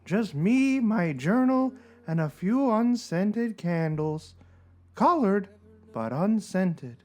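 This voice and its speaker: Man voicing a slow, fussy, melancholy cartoon blogger. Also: high-pitched, big pitch swings, darkish tone, full character voice. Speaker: performer in a podcast dramatic reading. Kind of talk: dramatic reading of a comic blog.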